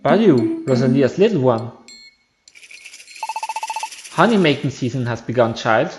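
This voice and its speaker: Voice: medium pitch, lively intonation, unhurried, over music, voice pushed down, natural voice. Folksy old creature giving warnings a gamer's act. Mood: cautioning. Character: kindly old creature warning a small child.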